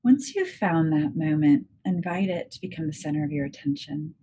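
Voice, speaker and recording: A woman leading a guided meditation as a psychologist, speaking in a gentle, measured, soothing manner with deliberate pauses. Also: deep voice, very animated, breathy edge, lo-fi sound. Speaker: clinical psychologist guiding a meditation. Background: music.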